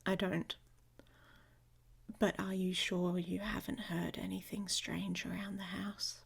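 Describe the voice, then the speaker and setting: A woman playing a gruff but protective werewolf in an intimate ASMR romance roleplay, speaking bluntly and haltingly in a husky voice that often drops to a whisper. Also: fairly low pitch, exaggerated voice. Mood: hushed, guarded. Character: gruff, grumpy, protective she-wolf shifter woman, secretly affectionate.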